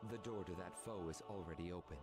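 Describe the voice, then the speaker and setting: Man acting a low, portentous guardian of time. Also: fast, close to an everyday voice. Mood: solemn. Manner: formal, portentous. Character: solemn, mysterious male guardian of time. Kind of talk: video game voice acting. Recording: loud game music over the voice.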